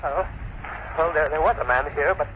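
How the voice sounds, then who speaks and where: A man's voice: a little high, moderate pace, close to an everyday voice. An actor playing a terrified, half-crazed captive man, stammering and pleading in an old radio drama. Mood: frantic. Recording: muffled, noisy old radio broadcast recording.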